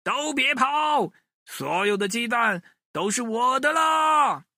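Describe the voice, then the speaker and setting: A man voicing a hot-tempered, greedy bald egg thief in a children's story, loud, boastful and threatening. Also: extremely high pitch, lively intonation, takes it slowly, dry recording, clean studio sound, voice pushed up, heavily acted. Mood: gloating.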